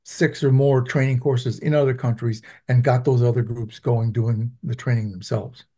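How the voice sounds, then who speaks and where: A man from Venezuela who teaches public health, answering interview questions in a measured, explanatory way with frequent ums. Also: medium pitch, level tone, warm tone. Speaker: public health professor, guest.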